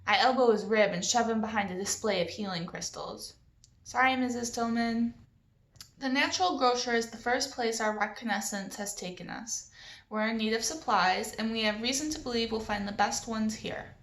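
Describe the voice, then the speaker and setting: Expressive woman reading aloud, roomy echo. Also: a little high, a little fast. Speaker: librarian reading a children's novel aloud. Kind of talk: expressive read-aloud storytelling.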